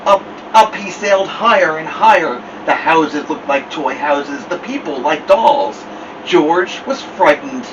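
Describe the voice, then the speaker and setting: Animated man reading a storybook, slow and swooping. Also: fairly high pitch. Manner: theatrical, with drawn-out words and dramatic pauses. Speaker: storytime host reading a children's book. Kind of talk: animated read-aloud of a children's picture book. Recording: distant mic, dull sound, noisy background hum.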